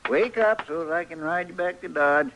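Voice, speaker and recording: An actor playing a fussy, good-hearted frontier deputy in an old radio western, with a grumbling rustic American drawl. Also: male voice, on the high side, normal speed, dull audio, natural voice. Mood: scolding. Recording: noisy, crackly old radio recording.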